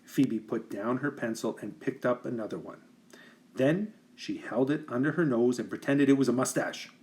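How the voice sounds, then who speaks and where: A man reading a children's picture book aloud like a teacher, with expressive, deliberate emphasis for young listeners. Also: mid-range voice, low-quality recording. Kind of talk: expressive children's storybook narration.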